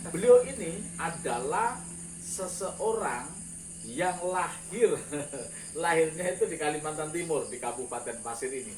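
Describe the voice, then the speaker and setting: A man with a Banyumasan Javanese accent hosts a casual night-time interview, chatty and loose, often tacking on "ya". His voice sits a little high and comes from some way off the mic, in a roomy space with clear cricket noise behind. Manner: chatty, leans on filler "ya". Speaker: host. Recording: roomy, distant, noisy with crickets.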